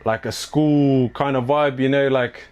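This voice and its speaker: A man's voice: mid-range voice, steady tone, measured pace, clean tone, highs cut off. Laid-back young New man. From New Zealand. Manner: laid-back, slangy, many fillers. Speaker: clothing brand owner and athlete. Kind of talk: casual interview chat. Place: his apartment.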